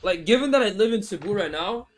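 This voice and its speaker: A man's voice: high-pitched, lively intonation, quick pace. A young man streaming a mobile shooter, chatting loosely with viewers, reading chat aloud and swearing casually into a headset mic. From Philippines. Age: young adult. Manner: laid-back, rambling, reads chat aloud, swears casually. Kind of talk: casual livestream chat while playing. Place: small room indoors. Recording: game audio noise behind voice. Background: game sounds and clicks.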